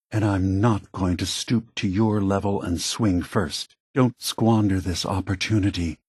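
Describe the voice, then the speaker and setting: Slow, weary, solemn android leader. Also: male voice, on the low side, darkish tone, slightly rough, dry recording, studio quality. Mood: firm, reproachful. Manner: measured, grave, diplomatic. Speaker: video game character. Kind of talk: scripted game dialogue.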